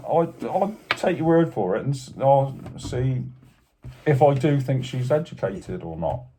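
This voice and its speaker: A man's voice: medium pitch, expressive, unhurried, mellow, a little hiss or hum, rough recording. A Scottish man co-hosting a casual football chat, blunt and teasing in his opinions. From Scotland. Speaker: podcast co-host.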